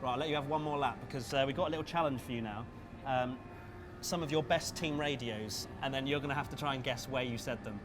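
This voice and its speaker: A man's voice: mid-range voice, quick pace, over music. A man hosting a casual interview while chatting beside a racing game. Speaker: interviewer and host. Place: racing simulator at a busy gaming event. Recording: clear vehicle and ambient background noise.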